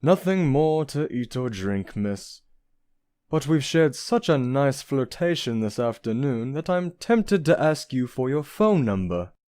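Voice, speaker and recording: A man's voice: mid-range voice, sing-song, a little slow, darkish tone, smooth, no echo. A man playing a suave, flirtatious prince in a comic dub, genteel and self-assured. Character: suave, flirtatious, penniless prince with courtly charm.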